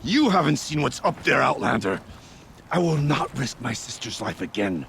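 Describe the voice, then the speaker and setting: Proud male hunter chief, gravelly, wide swings of pitch, formal, haughty, video game drama. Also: sing-song, moderate pace, a bit bright, a little hiss or hum. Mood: angry, defiant.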